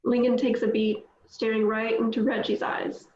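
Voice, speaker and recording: Woman reading stage directions, kinda high voice, echoey roomy lo-fi sound. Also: normal speed. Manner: plain, steady reading with small slips. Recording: echoey, dull lo-fi audio.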